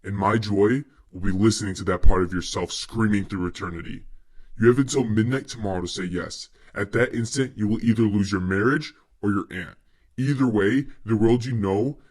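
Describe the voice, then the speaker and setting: A man voicing a gloating, menacing demon who bargains slowly and theatrically in a comic book story. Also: lowish voice, darkish tone, voice pushed down, natural voice. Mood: ominous, bargaining. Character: sinister male demon, gloating, smooth-talking bargainer.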